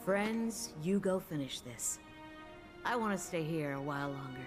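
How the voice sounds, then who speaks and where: A wry, seasoned older woman scholar of the wilds in a game cutscene. Her voice sits a little low, and she speaks in a measured, musing, assured way like a mentor. Music plays clearly behind her. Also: animated. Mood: wistful, contemplative. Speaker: game voice actor. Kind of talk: dramatic video game cutscene acting.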